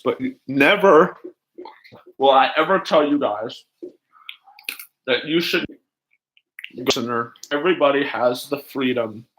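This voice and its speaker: A man hosting a livestream in casual chat, rambling haltingly and jumping between asides as he talks to viewers. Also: mid-range voice, rough recording. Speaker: livestream host.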